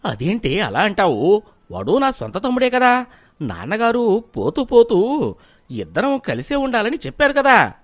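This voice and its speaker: A man's voice: high voice, huge pitch swings, measured pace, dry recording, exaggerated voice. A man playing an elder brother and henpecked husband in a moral story, talking in a placating way. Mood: hesitant, placating.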